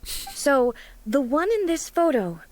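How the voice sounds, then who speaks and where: An actress playing a dignified, earnest female deity in a video game, formal and deliberate, puzzled by ordinary things. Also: high voice, very animated, a little mellow, no echo, full character voice, low-quality recording. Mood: pensive, earnest.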